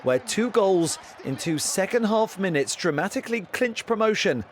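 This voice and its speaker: A man reporting for the news with a measured storytelling delivery, recorded outside a stadium. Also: sits high, expressive. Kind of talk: news report narration and vox pops. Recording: crowd noise, outdoor stadium.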